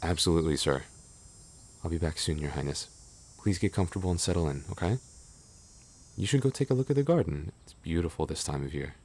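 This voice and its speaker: Guy acting as a low voiced loyal knight boyfriend, polite n a bit flustered, audio rp, some noise behind him. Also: lively intonation. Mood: courteous, formal, then attentive. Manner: polite, halting, softly attentive. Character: devoted, flustered young knight, secret lover of princess. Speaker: audio roleplay voice actor. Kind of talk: intimate boyfriend roleplay audio drama.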